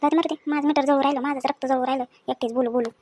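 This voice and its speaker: A woman playing a nagging, quick-tongued village wife full of grievances in an acted family story, scolding in a colloquial rural Maharashtrian accent. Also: high voice, steady tone, a little mellow, close to an everyday voice. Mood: bitter grumbling.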